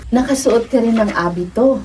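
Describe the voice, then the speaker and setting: Devout, courteous young Filipino novice nun , female actor, radio drama. Mellow tone, slightly distant with faint noise. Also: a little high, moderate pace, lightly acted. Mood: warmly surprised. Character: gentle young novice nun, polite and devout, reunited with old friend.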